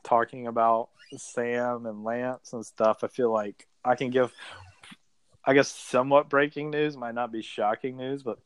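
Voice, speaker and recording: A man's voice: medium pitch, level tone, measured pace, clear, resonant tone, no room sound. Chatty young man telling a story. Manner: chatty, peppered with "like", acts out quotes. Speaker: podcast guest, reality game contestant. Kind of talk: casual storytelling.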